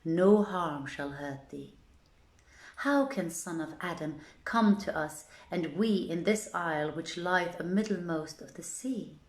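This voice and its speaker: A woman voicing a kindly peahen from a storybook, gentle and welcoming in archaic words, in a roomy, echoey recording. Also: fairly low pitch, unhurried. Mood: welcoming, reassuring. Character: kindly, reassuring peahen, wife of the peacock.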